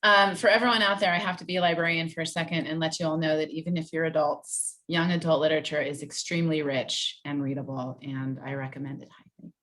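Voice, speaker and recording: A woman's voice: deep voice, little pitch movement, fast, few pauses, fairly bright tone. A woman librarian hosting a virtual author event, politely reading out audience questions in a conversational way. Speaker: librarian host. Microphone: video call.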